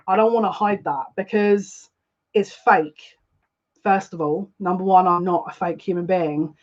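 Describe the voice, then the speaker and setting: A woman's voice: fairly low pitch, unhurried, darkish tone. Earnest woman on a lo-fi livestream. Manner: earnest, confiding, repeats phrases. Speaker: psychic livestream host. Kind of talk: live spiritual reading, casual monologue.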